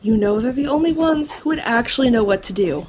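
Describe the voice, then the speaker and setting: A woman's voice: a little high, animated, fairly quick, darkish tone, a little hiss or hum, close to an everyday voice. A woman playing an earnest, caring green Martian who is eager to help, in an audio drama. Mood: worried, insistent. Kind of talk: audio drama character acting.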